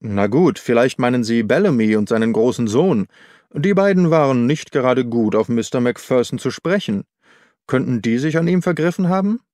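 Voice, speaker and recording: An eager, baffled police inspector acted out by a male audiobook reader, blunt and speculating. He presses with questions at a medium pitch, recorded close and dry. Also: expressive, moderate pace, a little mellow, dry recording, close to an everyday voice. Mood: probing, curious. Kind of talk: audiobook character dialogue.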